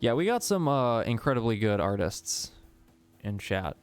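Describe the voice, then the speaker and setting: A man's voice: medium pitch, very animated, moderate pace, smooth, faint music behind. A man hosting a live game stream, reading prompts aloud with joking asides. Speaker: streamer host. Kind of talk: casual game stream commentary.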